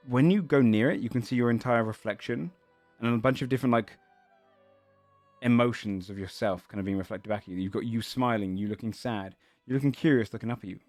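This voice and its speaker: A man's voice: medium pitch, darkish tone, no room sound. A man running a tabletop game as game master, describing items and scenes while searching for words.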